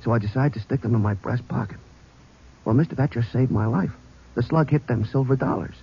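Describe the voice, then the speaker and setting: An actor playing a jumpy, talkative working-class American cab driver in an old radio drama, with a husky voice and emphatic, repetitive, colloquial speech. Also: male voice, mid-range voice, brisk. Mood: shaken, chatty. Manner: colloquial, emphatic, repeats himself. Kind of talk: 1950s American radio police drama acting. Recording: muffled old radio recording.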